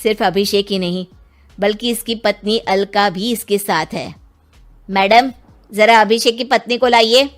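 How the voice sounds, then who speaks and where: A woman voices a businesslike police inspector in a storybook drama, formal and persistent. The delivery is courteous and questioning, at a middling pitch over music. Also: measured pace, lightly acted. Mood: assured.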